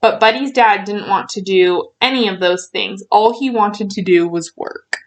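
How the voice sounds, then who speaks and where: A woman reading a children's storybook aloud in an expressive way, stretching out key words for emphasis. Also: mid-range voice, a little slow.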